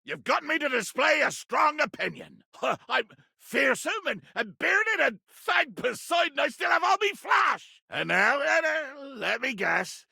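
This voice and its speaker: An actor playing a blustering, proud pirate captain in a video game, with a gravelly, raspy voice and pompous, old-fashioned seafaring speech. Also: male voice, very high voice, very animated, measured pace, pushed and bright, no room sound, shouting, clean studio sound, heavily acted. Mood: boastful. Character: blustering, theatrical pirate captain, bearded, proud, adventure-hungry. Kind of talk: video game character acting.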